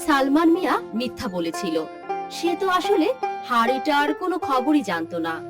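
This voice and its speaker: A woman reads a scripted moral story in a high voice. Her intonation rises and falls in a lively way, and loud music runs behind her. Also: moderate pace. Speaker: narrator.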